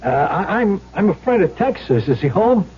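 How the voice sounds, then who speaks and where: Husky, stammering small-time crook. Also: male voice, high-pitched, sing-song, normal speed, exaggerated voice. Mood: nervous. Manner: stammering, hesitant, apologetic. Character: nervous, weak-willed small-time crook, reluctant killer. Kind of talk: old-time radio drama acting. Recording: dull lo-fi old radio recording.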